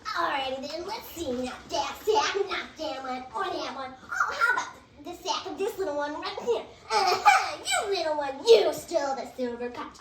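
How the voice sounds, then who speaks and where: Male story roles played by a woman, very high voice, amateur stage reading, distant from mic. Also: animated, normal speed, few pauses. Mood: taunting. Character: old father and taunting servant, both male. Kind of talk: amateur readers' theatre. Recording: distant echoey room, noisy.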